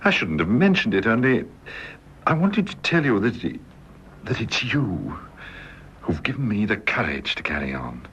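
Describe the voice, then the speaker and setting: Low-voiced, wistful British invalid husband. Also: male voice, sing-song, fairly bright tone. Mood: devoted, earnest. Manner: earnest, halting, tender. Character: devoted bedridden invalid husband, wistful and resigned. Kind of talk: radio drama acting. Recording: dull lo-fi radio drama with hiss.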